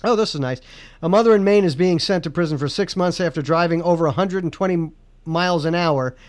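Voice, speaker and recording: A man with a high, smooth and resonant voice hosting a podcast. He rambles through loose, joking talk and keeps restarting his sentences. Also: fairly quick. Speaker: podcast host. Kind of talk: casual comedic chat.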